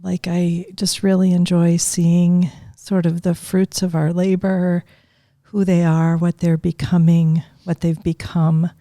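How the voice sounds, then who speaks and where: Woman, 50s, low gravelly voice, dry close recording, unhurried and thoughtful. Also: little pitch movement, mellow, no room sound. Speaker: guest. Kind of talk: casual interview chat.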